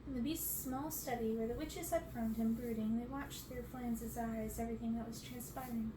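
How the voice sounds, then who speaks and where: High-voiced young woman reading, roomy sound. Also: fairly even intonation, moderate pace. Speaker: ASMR reader. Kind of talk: reading a storybook aloud. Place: bedroom, on a bed. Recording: roomy, noisy, distant mic.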